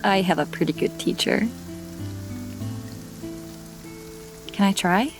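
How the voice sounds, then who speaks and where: A woman acting as a young female apprentice mage with a mid-pitched voice, vulnerable and sincere. She talks hesitantly, in fantasy audio drama style, over music and rain. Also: fairly quick, close to an everyday voice. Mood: hopeful.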